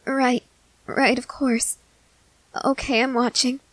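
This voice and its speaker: A woman playing a timid, gentle newly turned vampire in an ASMR roleplay, speaking hesitantly and haltingly. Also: sits high, level tone, no echo. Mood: trusting, nervous. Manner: hesitant, halting, soft-hearted.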